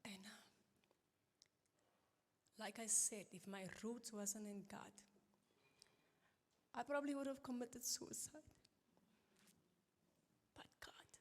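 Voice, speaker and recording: A middle-aged woman giving an earnest, halting and emotional personal testimony at a church conference. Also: fairly high pitch. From South Africa. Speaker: conference guest speaker. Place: church auditorium. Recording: long reverb of a large church hall.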